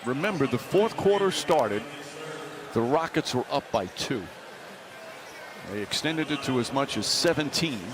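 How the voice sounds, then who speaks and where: Male basketball announcer over loud arena noise. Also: medium pitch, animated, unhurried, pauses often. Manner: short clipped factual phrases. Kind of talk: live sports commentary. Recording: heavy arena noise behind voice.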